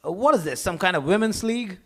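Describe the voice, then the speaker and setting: A man's voice: sits high, lively intonation, fast. A man acting a smug, argumentative schemer in a live comedy table read, with a mocking, emphatic delivery. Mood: smug taunting. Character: smug, argumentative, taunting male bar-owner schemer.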